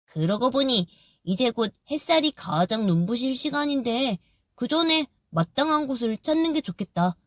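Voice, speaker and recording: A woman voicing a kind, gentle tree who talks calmly and with some wonder. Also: fairly high pitch, moderate pace, no room sound, studio quality, voice pushed up, natural voice.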